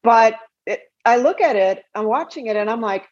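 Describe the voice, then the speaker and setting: A woman with a low, husky, breathy voice tells a story in a relaxed interview chat. She talks in a chatty way and laughs along the way. The sound is dull and lo-fi. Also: moderate pace. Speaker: singer guest.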